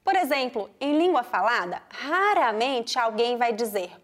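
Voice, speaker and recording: A woman from Brazil with a mixed Brazilian accent, teaching a pronunciation lesson with clear, deliberate explanations and spoken examples. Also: a little high, sing-song, fairly bright tone. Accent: Brazilian Portuguese, mixed regional. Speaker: Portuguese teacher.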